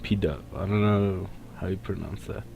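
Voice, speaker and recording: Low-voiced man doing game commentary. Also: fairly even intonation, normal speed, gravelly, muffled recording, a little hiss or hum. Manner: stumbles over technical words while reading. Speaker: let's play host. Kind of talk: casual gameplay commentary, reads in-game text aloud. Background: faint video game music.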